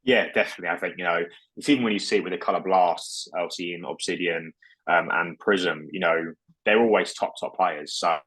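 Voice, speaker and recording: A man co-hosting a podcast, talking in a relaxed, hesitant way with many ums and you-knows. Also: deep voice, fairly quick, slightly rough, rough recording. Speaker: podcast co-host. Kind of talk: casual chat about trading cards.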